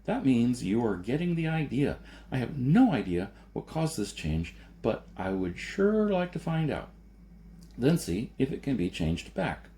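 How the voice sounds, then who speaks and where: Man voicing an insistent adventurer, pitched up, emphatic. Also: big pitch swings, unhurried, warm tone. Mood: insistent, persuasive. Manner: emphatic, argumentative, lecturing. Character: persuasive, insistent adult male off-world adventurer.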